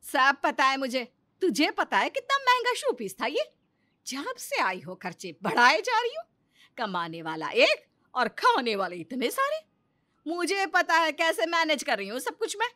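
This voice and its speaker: A woman's voice: high-pitched, wildly swooping pitch, a little slow, pushed and bright, exaggerated voice. A woman playing a bitter, suspicious sister-in-law in a story, scolding and sarcastic.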